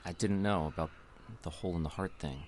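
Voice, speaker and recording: An actor playing a loyal, supportive young man in an audio drama, with a gentle, halting delivery. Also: low-pitched, steady tone, background noise, lightly acted. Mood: earnest, gentle. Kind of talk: audio drama dialogue acting.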